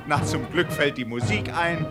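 A man's voice: on the high side, moderate pace, loud music behind the voice, natural voice. A man playing a flustered eyewitness narrator in a radio play, theatrically exclaiming and repeating himself like a live commentator. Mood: amused. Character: flustered, excitable male eyewitness narrator at a parade.

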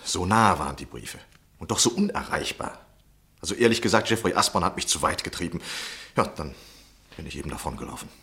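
A man playing an obsessed, frustrated, self-justifying gentleman journalist in a 1960s radio play. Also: deep voice, lively intonation, fairly quick, vocal fry, heavily acted. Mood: exasperated, helpless.

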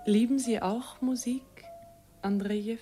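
An actress playing a dreamy, innocent teenage girl, a governor's romantic daughter, gentle and wistful in a radio drama. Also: a little high, steady tone, measured pace, faint music behind, lightly acted. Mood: dreamy, coy.

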